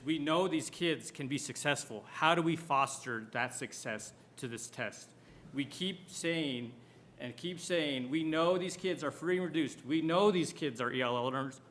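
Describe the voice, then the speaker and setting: A man's voice: medium pitch, a bit bright, slight background noise. Argumentative man at a forum. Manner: pointed, rhetorical questions. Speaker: school board candidate.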